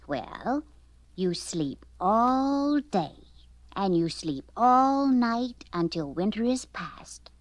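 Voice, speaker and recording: A woman voicing a loving, gently firm mother bear in a storybook, patient and soothing. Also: medium pitch, big pitch swings, very slow, dry recording.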